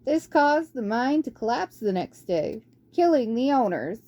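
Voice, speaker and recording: A woman voicing an ancient, fussy and forgetful male mouse scholar who squeaks barely above a whisper and mutters to himself. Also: very animated, a little slow, mellow, dull audio. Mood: reciting. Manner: doddering, muttering hmm and tutting. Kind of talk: audiobook character voice.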